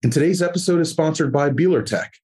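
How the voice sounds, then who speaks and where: A man's voice: fairly high pitch, fast, darkish tone, slightly rough. A man hosting a podcast, reading sponsor copy and asking questions in a friendly, enthusiastic way. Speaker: podcast host.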